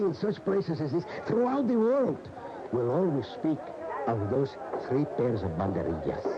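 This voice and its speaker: Awestruck, animated bullfight aficionado, muffled radio. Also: male voice, mid-range voice. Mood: reverent, awed. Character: admiring bullfight aficionado reminiscing, emotional and reverent. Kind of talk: 1980 radio drama monologue, interview-like recollection. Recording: dull, noisy 1980 AM radio recording.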